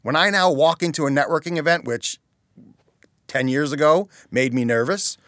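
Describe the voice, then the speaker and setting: A man's voice: a little high, normal speed, a bit bright, no echo, studio quality. A man speaking as a chamber of commerce president in a conversational interview, explaining things earnestly.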